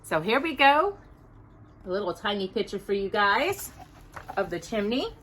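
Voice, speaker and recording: A woman hosting a children's storytime, reading aloud expressively in a deliberate storybook manner with casual asides. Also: mid-range voice. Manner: animated, deliberate storybook delivery.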